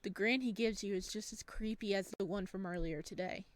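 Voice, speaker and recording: A woman hosting a let's play, reading game text aloud and chatting to viewers with bubbly, expressive reactions. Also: mid-range voice, brisk, dry recording. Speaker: gaming video host.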